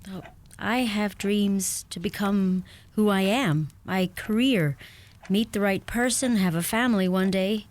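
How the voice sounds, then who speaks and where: An actress playing a self-possessed, tender young bride-to-be in a radio play, speaking directly. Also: female voice, mid-range voice, unhurried, natural voice. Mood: candid, tender.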